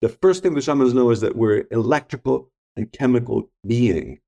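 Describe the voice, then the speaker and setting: A man's voice: medium pitch, normal speed, vocal fry, mellow. A middle-aged man whose first language is Greek, a podcast guest telling stories and reflecting in an earnest, emphatic way. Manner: earnest, emphatic, repeats key points. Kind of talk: reflective conversational storytelling.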